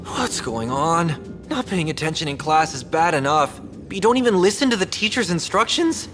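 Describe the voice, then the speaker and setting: High-voiced, grumbling game schoolboy. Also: male voice, big pitch swings, moderate pace, over music. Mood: exasperated. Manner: complaining, exasperated. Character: young male student, grumbling, impatient, sarcastic. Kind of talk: anime-style game voice acting.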